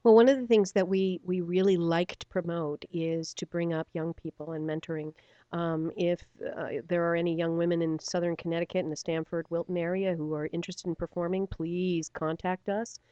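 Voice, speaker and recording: A woman's voice: on the low side, fairly even intonation, fairly quick, warm tone, dry recording, muffled recording. A middle-aged woman, a stage actor, chatting animatedly in an interview, laughing often and using many fillers. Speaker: stage actor and theater company member. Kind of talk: radio interview, casual chat.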